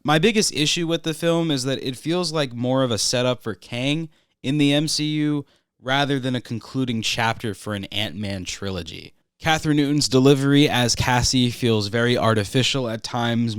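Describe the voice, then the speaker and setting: A young man hosting his own podcast in a relaxed, rambling way, a little congested, with lots of "uh" fillers. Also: mid-range voice, moderate pace, clear, resonant tone, no echo, clean studio sound. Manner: relaxed, filler-heavy, frequent "uh". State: congested from recent illness. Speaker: podcast host. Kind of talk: casual solo podcast review.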